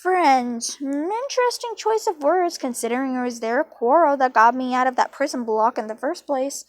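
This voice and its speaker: A smug male trickster creature from a storybook, voiced by a woman, high in pitch and recorded dry. He taunts with mock politeness and theatrical relish. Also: lively intonation, normal speed, no room sound. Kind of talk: amateur storybook character reading.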